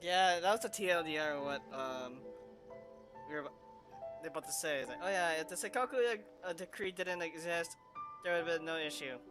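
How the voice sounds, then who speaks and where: A man's voice: on the high side, fairly quick. A man giving casual gameplay commentary as a streamer. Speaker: streamer reacting to a game. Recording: streamer voice over game music. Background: game music.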